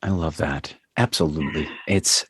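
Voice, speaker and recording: A man's voice: extremely low pitch, normal speed, slightly rough, no room sound, studio quality. A man hosting a podcast, who reads an intro and then asks questions in an affirming way. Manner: warm, affirming interviewer. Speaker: podcast host. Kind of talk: scripted intro, then casual interview.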